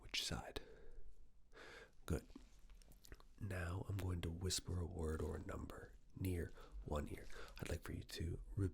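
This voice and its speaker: Very deep, slow, whispering ASMR man. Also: level tone, gravelly. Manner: hushed, gentle step-by-step instructions. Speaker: ASMR performer playing a clinician giving a cranial nerve exam. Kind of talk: ASMR medical roleplay, soft near-whisper.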